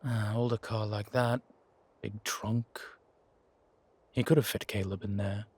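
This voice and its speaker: A man playing a sardonic, weary vampire in an audio roleplay, speaking in a measured, formal, wry way. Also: fairly low pitch, steady tone, a little mellow, breathy edge, no room sound. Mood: musing, calm. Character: sardonic, weary male vampire, protective of a little companion. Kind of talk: scripted audio roleplay drama.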